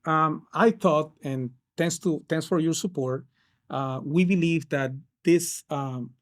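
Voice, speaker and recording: Man, fairly high voice. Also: slow. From Peru. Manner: hesitant, many fillers and restarts. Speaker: case manager counselor. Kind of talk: interview answers.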